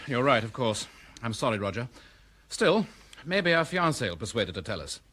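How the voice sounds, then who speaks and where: An eager chatty pal, husky, voice bounces around, old radio play. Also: male voice, mid-range voice, very animated, normal speed, fairly bright tone. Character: cheerful, eager male friend of the detective. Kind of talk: vintage radio drama acting.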